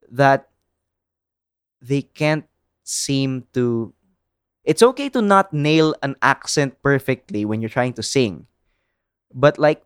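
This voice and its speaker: A man's voice: mid-range voice, clean tone, no room sound, clean studio sound. A man with a Filipino English accent, chatting casually and giving opinions with lots of "like" fillers. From Philippines. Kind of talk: casual chat.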